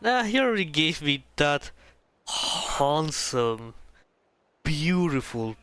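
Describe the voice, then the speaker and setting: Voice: mid-range voice, very animated, slow, fairly bright tone, clean tone, dry recording. A game streamer chatting casually and reacting to the story in a jokey, playful way.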